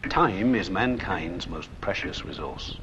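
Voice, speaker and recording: A man narrating a 1970s corporate training film in a solemn, rhetorical, measured way, on an old film soundtrack. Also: deep voice, animated. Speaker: narrator and presenter. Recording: muffled, noisy 1970s 16mm film soundtrack.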